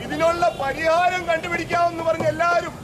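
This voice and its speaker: High-voiced street puppet show narrator. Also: male voice, flat delivery, brisk. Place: outdoor street. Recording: outdoor street show with loud crowd and traffic.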